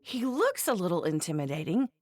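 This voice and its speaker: A woman voicing a fretful, scolding anime mother in a video game, blunt and expressive. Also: lowish voice, brisk, no room sound, clean studio sound. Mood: concerned. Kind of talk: animated game voice acting.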